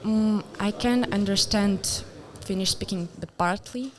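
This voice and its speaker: A young woman with a Russian accent, a student guest, talking hesitantly with many fillers. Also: medium pitch. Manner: hesitant, halting, many fillers, laughs often. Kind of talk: casual interview chat.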